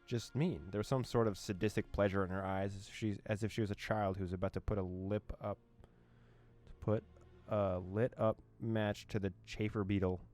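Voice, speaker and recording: A man with a low voice reads a horror visual novel aloud as he plays. He slips in joking, playful asides, with faint music underneath. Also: little pitch movement, moderate pace, a little mellow. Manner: playful, laughing asides, dramatic reading. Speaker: let's play host.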